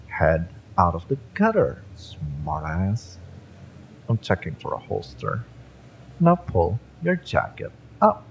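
A man playing a cocky, exasperated mercenary in a romance audio roleplay, sardonic and menacing in a deliberate, taunting way. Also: low voice, huge pitch swings, creaky, slight background noise, heavily acted, poor audio. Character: fiery male mercenary, cocky, exasperated, menacing yet familiar.

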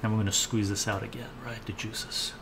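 An older man talks casually as he cooks, musing aloud and replying to viewers' comments. His voice sits on the low side. The sound carries clear background noise from the kitchen. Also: flat delivery, a little fast. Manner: chatty, thinks aloud, reads chat and answers it. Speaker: cooking live streamer. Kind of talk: casual live-stream chat while cooking. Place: home kitchen, indoors. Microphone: fixed camera mic, moves around the kitchen.

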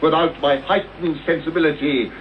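A man's voice: a little high, steady tone, normal speed, natural voice. Arrogant British sleuth, male actor, radio drama: lofty, rhetorical delivery in a raised register, with noticeable hiss. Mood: scornful. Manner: pompous, precise, rhetorical. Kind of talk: radio play acting. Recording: dull, hissy old radio tape recording.

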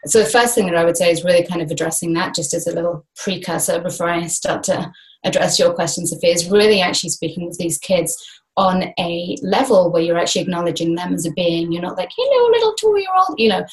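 A woman's voice: fairly low pitch, fast, poor audio. A woman in her thirties, a guest speaker, talking casually and animatedly over a laptop video call from a small room. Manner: animated, gestures widely with both hands. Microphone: laptop webcam on a video call.

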